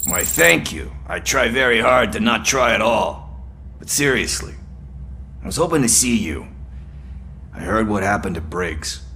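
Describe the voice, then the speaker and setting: Game street informant: wry and theatrical, creaky voice with a forceful edge. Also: male voice, fairly low pitch, animated, measured pace, a little hiss or hum, exaggerated voice. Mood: wry, friendly. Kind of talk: video game voice acting.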